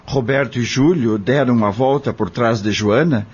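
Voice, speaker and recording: Man as husky Brazilian witness telling a crime. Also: mid-range voice, darkish tone, lightly acted. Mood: grave, shaken. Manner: grave, deliberate storytelling. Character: troubled imprisoned male witness recounting a crime. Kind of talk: scripted first-person drama narration. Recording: dull lo-fi audio.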